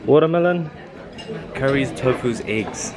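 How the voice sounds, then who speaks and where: A man's voice: medium pitch, measured pace. A young man talks playfully to his viewers while holding the camera himself. He is outdoors on a lakeside raft house, and heavy background noise runs under his chat. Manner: chatty, playful, greets viewers directly. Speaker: travel vlogger. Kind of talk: casual travel vlog. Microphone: handheld camera at arm's length.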